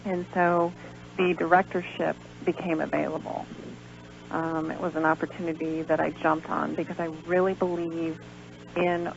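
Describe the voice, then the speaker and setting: A woman's voice: low-pitched, level tone, normal speed, gravelly. A woman speaking as a guest in an unscripted radio interview over the telephone. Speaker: interview guest, pageant director. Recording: dull, noisy telephone line on radio.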